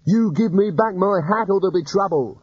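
A man voicing a nervous, cowardly wizard who protests in a high, anxious voice. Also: fairly quick, a little mellow, breathy edge, dry recording, lo-fi sound. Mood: indignant.

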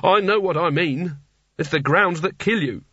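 A man's voice: a little high, normal speed, fairly bright tone, slightly rough, no room sound, muffled recording, voice pushed up. A man voicing a level-headed young woman who speaks carefully and patiently.

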